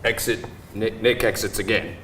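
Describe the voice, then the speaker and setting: A man's voice: on the low side, steady tone, normal speed. A man reading screenplay stage directions aloud as narrator at a live table read. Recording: roomy live event, distant mic, faint noise.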